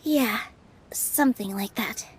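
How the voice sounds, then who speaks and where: An actress voicing a gentle, hopeful young dancer in a video game, sincere and caring. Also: female voice, on the high side, takes it slowly, slight background noise. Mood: reassuring. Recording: music behind the voice.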